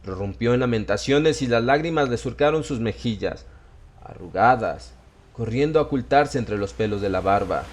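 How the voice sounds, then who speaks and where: A man's voice: mid-range voice, expressive, normal speed. A man narrating a scripted horror audiobook in a dramatic, literary style.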